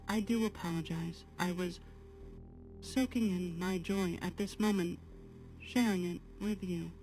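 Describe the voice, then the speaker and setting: Earnest female AI streamer, acted by a woman, halting formal delivery at a very unhurried pace, soft music underneath. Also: lowish voice, steady tone. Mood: polite, wistful. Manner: measured, halting, formal, avoiding contractions. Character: polite artificial-intelligence female assistant, apologetic and gently earnest. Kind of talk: slow guided talk to a live chat.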